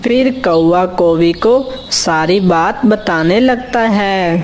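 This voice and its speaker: A woman's voice: on the low side, slow pace, a little mellow, slight background noise. A woman narrating a scripted children's cartoon story.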